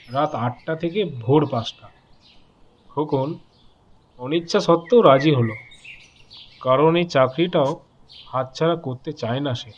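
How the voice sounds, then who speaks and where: A man narrating a horror story dramatically, in measured short phrases with pauses. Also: fairly high pitch, muffled recording, a little hiss or hum.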